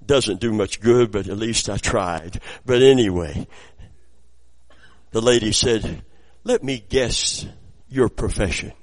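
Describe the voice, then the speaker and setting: A middle-aged man preaching a sermon, his voice raspy from preaching, moving between quiet storytelling and loud exclamations. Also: lowish voice, slow, pauses often. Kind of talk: sermon with personal anecdotes.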